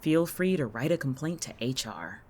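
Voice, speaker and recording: A man's voice: medium pitch, big pitch swings, moderate pace. A man playing a smug, callous boss in an audio drama, teasing in a sardonic, nonchalant way. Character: smug, callous, teasing male boss who keeps monsters.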